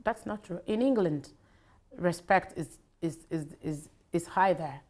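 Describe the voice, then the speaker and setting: A woman's voice: fairly low pitch, measured pace, a little mellow. A middle-aged Nigerian woman, a native Yoruba speaker, answering interview questions conversationally in an emphatic, repetitive way. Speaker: talk show guest, investment banker.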